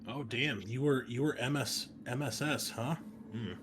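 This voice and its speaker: A man's voice: mid-range voice, unhurried. A man bantering casually as a player at a tabletop roleplaying game. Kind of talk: casual joking chat. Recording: faint background noise.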